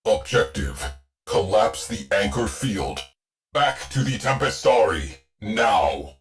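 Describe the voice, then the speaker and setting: A stern shipboard machine mind from a video game, deep in pitch and unhurried. He delivers terse, formal fragments with the solemn weight of a loyal old soldier. Faint music sits behind the voice. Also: very animated, full character voice. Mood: urgent, commanding. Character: terse, solemn male shipboard artificial intelligence, battle-hardened and loyal. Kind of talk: scripted video game character lines.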